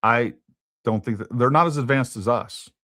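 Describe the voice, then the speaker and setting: A man's voice: medium pitch, normal speed, fairly bright tone, no echo, clean studio sound. A man hosting a casual political talk show, thinking aloud and repeating words as he goes. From Texas. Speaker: podcast host.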